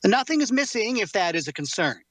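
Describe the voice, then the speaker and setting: A man playing a composed, faintly menacing captor in an audio drama read, speaking in measured, formal, precise phrases. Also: sits high, very animated, fairly bright tone, dry recording, heavily acted. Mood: calm, controlled. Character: composed, faintly menacing male captor tending his prisoner.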